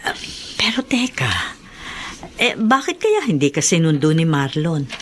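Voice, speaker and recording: Middle-aged Filipino mother, radio drama: lowish voice, motherly, gently firm, admonishing. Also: sing-song, unhurried, a little hiss or hum. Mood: concerned, advising. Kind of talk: Tagalog radio drama acting.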